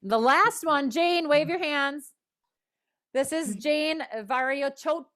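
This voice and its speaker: An enthusiastic woman with a Minnesota accent, her voice sitting a little high. She leads a panel conversation over a video call, cheering on her guests as she introduces them. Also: a bit bright. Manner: upbeat, enthusiastic, quick restarts. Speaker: host. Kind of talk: panel interview hosting.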